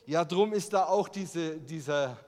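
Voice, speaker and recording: A man's voice: fairly high pitch, measured pace, darkish tone. A German man giving a sermon with slides, in a conversational way, full of rhetorical questions. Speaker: preacher. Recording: long hall reverb.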